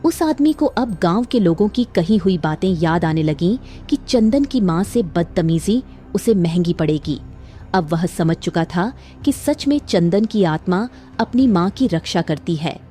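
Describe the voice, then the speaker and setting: A woman narrates a cartoon moral tale in scripted, dramatic storytelling style. Her voice is mellow and on the low side, with soft music behind it. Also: expressive, measured pace.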